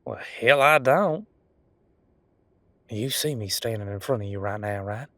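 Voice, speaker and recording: Rough-edged werewolf bodyguard acted by a man, deep-voiced, folksy and teasing, intimate audio drama, very dry close sound. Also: fairly bright tone, dry recording. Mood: puzzled, defensive. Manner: casual, folksy, halting, teasing.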